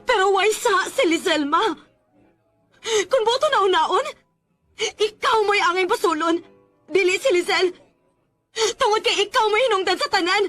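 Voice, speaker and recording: Radio drama: caring young elder sister, high-pitched, insistent, light music underneath. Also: female voice, moderate pace, long pauses, a bit bright, no echo. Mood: anguished accusing. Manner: earnest, insistent. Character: caring, protective older sister who challenges her parents. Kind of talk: Bisaya radio drama acting.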